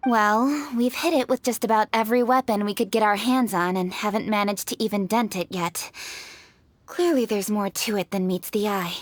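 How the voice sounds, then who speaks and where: An actress playing a poised, dutiful young city official in a fantasy video game, speaking in an articulate, earnest way. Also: female voice, mid-range voice, moderate pace, dry recording, lightly acted. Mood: matter-of-fact. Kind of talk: video game character acting.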